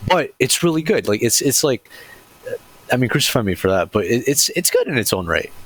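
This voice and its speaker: A man's voice: mid-range voice, sing-song, fairly quick, fairly bright tone. A man talking informally as a panelist on a podcast. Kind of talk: casual chat.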